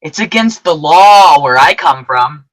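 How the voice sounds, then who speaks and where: Earnest apprentice angel for radio drama, played by an actor: forceful, unhurried, very lively pitch, emphatic. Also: rough recording. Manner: animated, emphatic, deliberate. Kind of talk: old-time radio play acting with narration to listeners.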